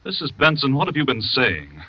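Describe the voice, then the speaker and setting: Casting: a defensive, pleading suspect husband, husky voice, dry dull old radio sound. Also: male voice, medium pitch, wildly swooping pitch, moderate pace, dry recording. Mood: flustered. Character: man accused of poisoning his missing wife. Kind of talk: old-time radio drama acting.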